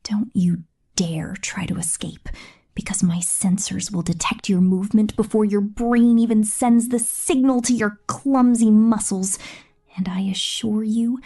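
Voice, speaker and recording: A woman voicing a possessive, cold female killer drone robot in a breathy, slow, menacing whisper for an ASMR roleplay. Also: mid-range voice, steady tone, warm tone.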